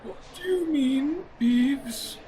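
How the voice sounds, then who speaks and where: A performer voicing an elderly male wizard headmaster in a fan audio drama, speaking formally and deliberately with calm authority. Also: high voice, a little hiss or hum. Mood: grave, commanding.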